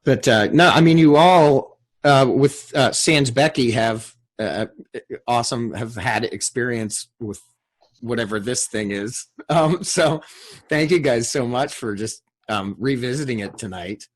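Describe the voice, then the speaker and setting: A man hosting a casual video call discussion after a table read, rambling and halting as he talks. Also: medium pitch. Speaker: host and writer of a table read. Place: remote group video call.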